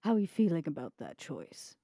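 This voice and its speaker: A woman playing a mocking, coolly sadistic vampire interrogator, making deliberate, sardonic threats in a tabletop game. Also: low voice, huge pitch swings, gravelly, warm tone, no room sound, studio quality, exaggerated voice. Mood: cool menace. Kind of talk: tabletop role-play.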